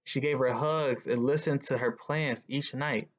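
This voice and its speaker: A man reads a picture book aloud to children as a guest reader. His voice sits at a middle pitch, and the lo-fi recording sounds slightly dull. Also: steady tone, a little slow.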